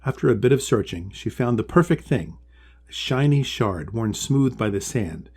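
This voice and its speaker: A man's voice: medium pitch, expressive, normal speed, darkish tone, husky. A man reading his own children's storybook aloud as scripted narration.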